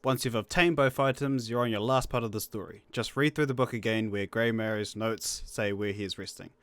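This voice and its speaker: A man's voice: on the low side, steady tone, fairly quick, a bit bright, no room sound. A man co-narrating a video game walkthrough in a casual, breezy, slangy way as he gives instructions. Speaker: guest co-narrator of a gaming guide.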